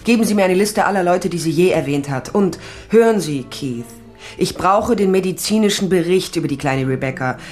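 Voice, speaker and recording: A woman's voice: on the low side, animated, a little fast, a little mellow, soft background music, close to an everyday voice. An actress playing a tough, wry female private investigator in a German radio crime drama, blunt and dry. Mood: brisk, pressing. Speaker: narrator and lead actress.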